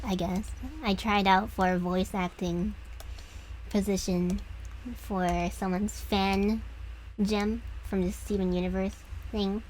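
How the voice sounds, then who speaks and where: A young woman streaming as she draws, talking softly and lower than usual, hesitant and often trailing off. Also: slight background noise, rough recording. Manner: soft, hesitant, trailing off with restarts. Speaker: streamer drawing commissions. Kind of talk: casual reflective chat while drawing.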